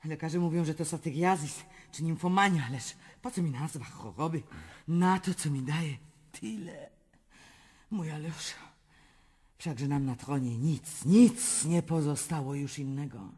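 An actress playing an imperious, lustful and volatile Russian empress in a radio drama, with a commanding theatrical manner. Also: female voice, deep voice, measured pace, slightly rough. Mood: urgent, confiding. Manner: commanding, theatrical, swinging between murmurs and shouts.

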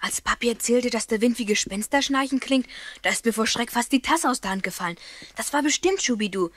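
A woman playing an eager, confident young boy in a children's radio play, brisk and matter-of-fact. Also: on the high side, expressive, fairly bright tone, no room sound. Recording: noticeable background noise.

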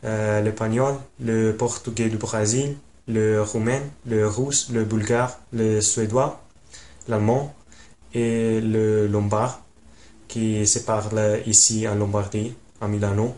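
Young Italian man in his twenties, slow, halting learner's delivery, flat low voice, webcam at home. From Italy. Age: 20s. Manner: halting, many pauses and fillers. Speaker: language learner. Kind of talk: casual self-introduction. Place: small room at home.

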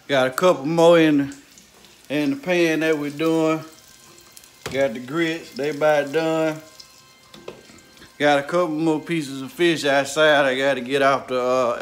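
A man's voice: a little high, steady tone, a little slow, long pauses, a bit bright. Laid-back man hosting a family cooking vlog. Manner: laid-back, joking, addresses viewers as family. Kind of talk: casual family vlog chat.

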